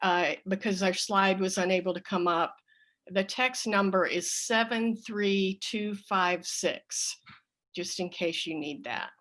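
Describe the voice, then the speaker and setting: Very slow-spoken woman leading a service. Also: mid-range voice, fairly bright tone. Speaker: worship service leader. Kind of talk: church service announcement and offering dedication.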